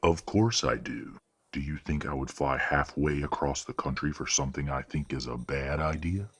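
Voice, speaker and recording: A stern werewolf patriarch, acted by a man for an audio drama. His voice is extremely low and husky. He speaks formally and with measured authority, a courteous father set on tradition. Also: a bit bright, heavily acted. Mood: firm, proud. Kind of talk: audio roleplay drama acting.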